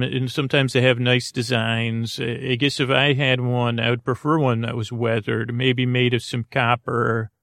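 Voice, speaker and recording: Male podcast host, low-pitched-neutral creaky voice, close dry sound, meandering bedtime monologue with halting, unfinished thoughts. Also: a bit bright, dry recording.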